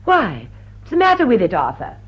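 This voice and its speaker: An actress playing a gracious, doting upper-class hostess in a period drawing-room radio drama, with genteel, emphatic phrasing. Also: female voice, mid-range voice, huge pitch swings, brisk, husky, lo-fi sound. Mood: concerned, indulgent.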